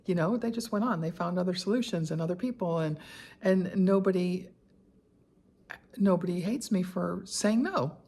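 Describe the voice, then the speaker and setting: An older woman, telling a personal story to camera in an easy, conversational way. Her voice sits a little low, and she keeps things chatty and candid, dropping in asides and small laughs. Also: moderate pace, mellow, husky. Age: 60s. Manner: conversational with frequent fillers and asides. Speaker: lifestyle vlogger. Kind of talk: personal reflective vlog.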